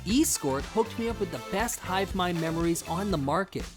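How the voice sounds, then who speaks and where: A woman's voice: on the low side, animated, normal speed, natural voice. A woman voicing an eerie female creature with eggs about to hatch, in a fake advert. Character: egg-laden female creature selling her brood. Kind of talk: audio drama fake advert. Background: music.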